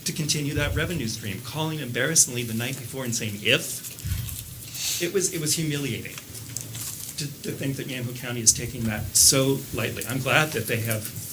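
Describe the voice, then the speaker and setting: A man's voice: medium pitch, moderate pace. A man giving pointed, critical public comment at a county meeting. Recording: noisy public meeting recording.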